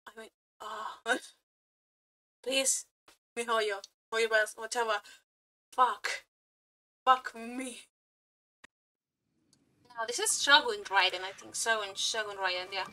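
Bright, high-voiced woman gushing over videos. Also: takes it slowly, long pauses. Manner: gushing, exclamatory, swearing freely. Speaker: reaction streamer. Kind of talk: casual excited reaction commentary. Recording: music playing behind. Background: game trailer music.

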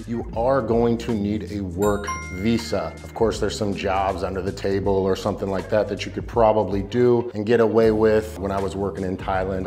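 A man's voice: on the low side, a little mellow. An American man, around his thirties, hosting a casual explainer video in an informal, emphatic, slangy way. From United States. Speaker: YouTube host giving expat advice. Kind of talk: casual explainer talk to camera. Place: small indoor home studio. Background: background music.

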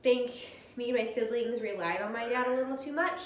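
A woman in her thirties with a stuffy cold, talking a little loud and candidly to camera in her dining room. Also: on the high side, a little fast, roomy sound, slight background noise. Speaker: vlogger. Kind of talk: personal vlog talk. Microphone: camera across the room.

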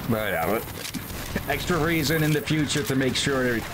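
A man's voice: mid-range voice, lively intonation, normal speed, noisy background. A man hosting a game stream, chatting casually and laughing easily. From Argentina. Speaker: streamer host. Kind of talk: casual gameplay chat. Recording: game music behind.

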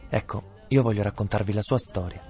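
A man's voice: fairly low pitch, fairly quick, a little mellow. A man narrating a scripted radio drama, addressing listeners as the storyteller. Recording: music behind the voice. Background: music.

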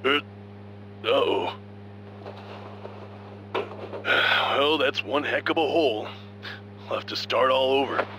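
A man's voice: medium pitch, slow, pauses often, noisy background, close to an everyday voice. A man voicing an earnest, goofy, muscle-proud strongman in a video game, musing to himself theatrically. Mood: self-absorbed, musing.

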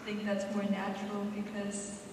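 A woman's voice: mid-range voice, monotone, normal speed, background noise. A young woman on a panel who answers casually and with some hesitation. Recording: echoey hall picked up from far off.

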